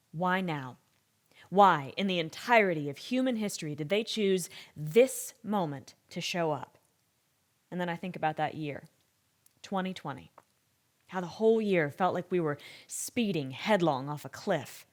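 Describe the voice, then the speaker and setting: Thoughtful female expert, low voice, measured, reflective, troubled, naturalistic radio drama interview.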